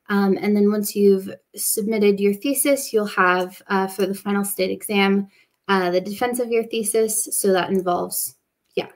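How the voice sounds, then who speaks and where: A young woman in her twenties, a master's student, casually presenting her study program on a video call with lots of fillers and "like". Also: medium pitch. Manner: relaxed, frequent fillers and "like". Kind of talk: casual presentation at an online open day.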